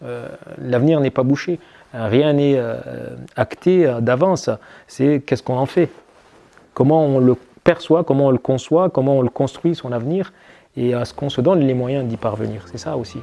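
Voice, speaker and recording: A man's voice: mid-range voice, normal speed, gravelly, warm tone, dull audio. A man as an interview guest, answering reflectively with many hesitations and restarts. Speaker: guest, army officer.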